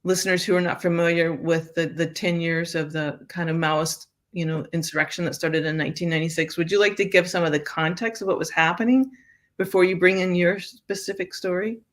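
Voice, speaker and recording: A woman's voice: on the low side, steady tone, fairly quick. An older woman hosting an interview show, talking casually with many fillers and restarts. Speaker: host.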